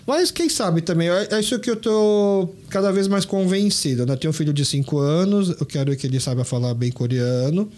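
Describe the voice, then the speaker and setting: A man's voice: sits high, lively intonation, fairly quick, hardly any pauses, a little mellow. A man in his thirties hosting a podcast, chatting casually with frequent restarts and long, rambling anecdotes. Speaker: podcast host. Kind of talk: casual chat.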